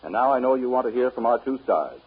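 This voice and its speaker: A man's voice: mid-range voice, lively intonation, fast talker, breathy edge, narrow, tinny audio. An American man working as a 1930s radio announcer, polished and emphatic, on a scratchy old broadcast.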